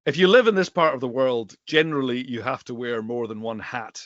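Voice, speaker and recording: Middle-aged Highland Scottish man, slightly muffled. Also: fairly quick, fairly bright tone, no room sound. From Western Isles of Scotland. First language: Scottish Gaelic. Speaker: guest, bookseller and Gaelic teacher. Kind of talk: conversational interview answers.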